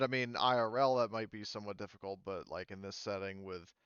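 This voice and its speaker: A man's voice: fairly low pitch, a bit bright, clean tone, no echo, lo-fi sound. A man acting as game master, explaining rules and thinking aloud, with many fillers as he checks things. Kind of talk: running a tabletop game online.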